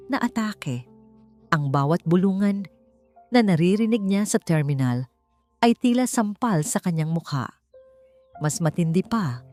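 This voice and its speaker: A woman's voice: on the low side, a little mellow. A woman with a Filipino accent reading a scripted dramatic story as an audiobook narrator.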